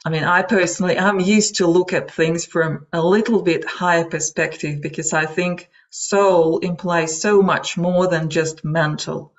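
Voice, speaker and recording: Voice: mid-range voice, fairly even intonation, measured pace, few pauses. A native Russian speaker with a Russian accent, presenting an explanatory webinar lecture in informal, hesitant phrasing with frequent asides. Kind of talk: explanatory lecture to an online audience. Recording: dull lo-fi webinar audio.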